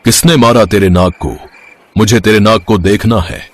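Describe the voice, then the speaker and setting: A man voicing a grave, calm old village sage who speaks slowly and solemnly in an animated moral story. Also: lowish voice, no room sound. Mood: stern. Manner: slow, solemn, drawn-out delivery.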